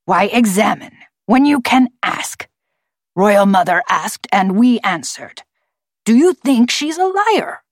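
A woman voicing a proud, distrustful male talking raven in an audiobook, with a forced gravelly voice and a formal, accusing way of speaking. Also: mid-range voice, big pitch swings, takes it slowly, no echo, clean studio sound, pitched above the performer's own voice. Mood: defiant. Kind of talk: audiobook character voice.

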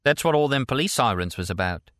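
A man voicing a chatty, excited young London pub waitress in an audiobook. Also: medium pitch, fast talker, dry recording, clean studio sound, pitched above the performer's own voice, lightly acted. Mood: excited gossip. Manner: colloquial, gossipy.

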